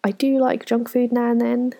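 A woman, answering viewer questions in a frank, self-deprecating, rambling way, full of ums and soft whispered asides. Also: fairly high pitch, level tone, normal speed, vocal fry. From England. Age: 20s. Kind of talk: casual Q&A chat to camera.

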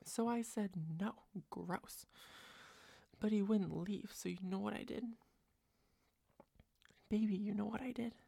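A young woman doing a soft, close-mic whispered girlfriend roleplay, rambling and doting, a little tipsy. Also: medium pitch, fairly even intonation, normal speed, creaky, long pauses, no echo. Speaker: ASMR roleplayer.

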